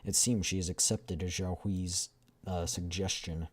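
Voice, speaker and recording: A man with a deep voice streaming a game, chatting casually and reading story narration aloud in a relaxed way. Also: a little slow. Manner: relaxed, self-deprecating.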